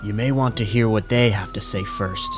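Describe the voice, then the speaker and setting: A man playing a dutiful police captain in an audio drama, deferential and measured in manner. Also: mid-range voice, huge pitch swings. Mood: resigned, steady. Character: dutiful, composed male police captain serving mobsters. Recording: music and rain under the voice.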